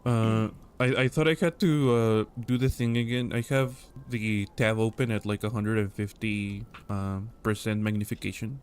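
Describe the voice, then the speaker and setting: A man's voice: fairly low pitch, fairly even intonation, normal speed, few pauses, clean tone. A man hosting a casual livestream chat, rambling and joking with many fillers. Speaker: stream host.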